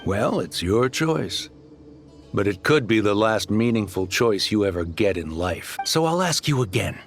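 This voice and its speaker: An actor playing a brash, greedy desert mercenary leader in a video game, talking in a slick, pushy way. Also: male voice, lowish voice, lively intonation, a little slow. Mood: pressuring. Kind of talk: scripted video game acting. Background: music.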